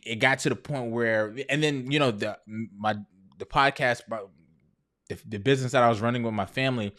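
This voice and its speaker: A man's voice: mid-range voice, a bit bright. Candid man in his thirties, chatting casually. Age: 30s. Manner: conversational, often checks in with "right?" and "you know". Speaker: entrepreneur video host sharing personal story. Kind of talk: candid casual chat to viewers.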